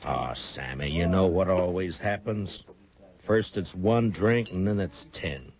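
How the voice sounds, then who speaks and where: A deep-voiced steady frontier marshal. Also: male voice. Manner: measured, steady. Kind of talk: 1950s radio acting. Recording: muffled 1950s radio broadcast.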